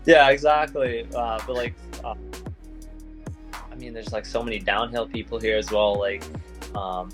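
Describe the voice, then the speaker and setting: A man's voice: mid-range voice, steady tone, normal speed, long pauses. Young man, belgian-born, laid-back interview guest, lots of fillers, faint music in background. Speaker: guest skater. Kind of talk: casual interview chat.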